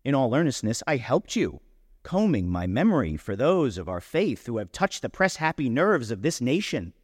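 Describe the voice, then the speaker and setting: A man's voice: medium pitch, very animated, moderate pace, husky, clean studio sound. A pompous, wounded young man performed by a male story reader declaims a letter with indignant oratory. His mid-range voice carries through a hall with long reverb, in the manner of a staged storybook reading.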